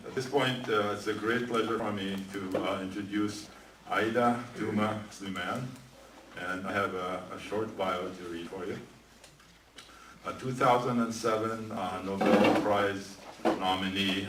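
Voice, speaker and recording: A man making announcements and introducing a speaker at a museum event, halting with many fillers. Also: low voice. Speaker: event emcee. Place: museum event room with audience. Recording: echoey room from far off, noisy.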